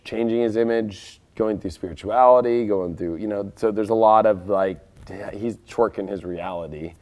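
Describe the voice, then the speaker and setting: A man with a raspy voice hosting a casual live tarot reading, rambling and excitable, with lots of fillers and sudden loud outbursts. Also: on the low side. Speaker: tarot reader and astrologer.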